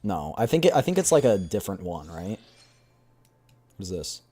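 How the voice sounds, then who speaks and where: A man's voice: low-pitched, sing-song, moderate pace, warm tone, slightly rough. A man livestreaming a game, chatting casually with his audience. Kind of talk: casual game stream chat.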